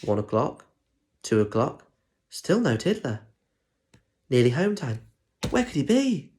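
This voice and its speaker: Man reading a picture book, very animated. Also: medium pitch, a little slow. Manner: chatty asides and questions to young listeners. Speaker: primary school teacher reading aloud. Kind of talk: expressive picture-book storytelling for children.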